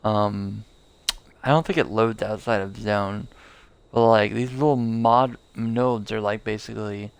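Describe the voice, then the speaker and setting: A young man chatting over his gameplay in a loose, rambling way, full of fillers and restarts. His voice has a gravelly edge but stays smooth and clear underneath. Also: on the low side, fairly even intonation, measured pace. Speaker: streamer. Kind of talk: casual live gameplay commentary.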